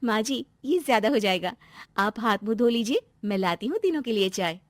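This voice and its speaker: A woman's voice: on the high side, animated, a little fast, no echo, close to an everyday voice. A woman voicing an outspoken, easily hurt young daughter-in-law in a family moral story, with an emphatic manner. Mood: dutiful. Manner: pointed, complaining, emphatic.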